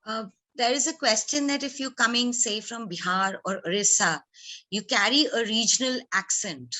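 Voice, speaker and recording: Bright-voiced woman moderating a Q&A. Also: fairly high pitch, moderate pace, rough recording. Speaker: moderator reading out audience questions. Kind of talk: reading questions in a live Q&A.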